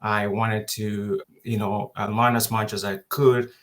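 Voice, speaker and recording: A man in his thirties from Kenya, giving an unhurried webinar lecture over a video call and pausing often to think aloud. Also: on the low side, level tone. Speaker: guest presenter.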